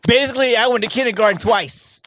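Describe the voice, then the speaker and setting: A man in his forties hosting a casual live-stream chat, rambling with halting starts and fillers. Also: extremely high pitch, fairly bright tone, slight background noise. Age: 40s. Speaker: host.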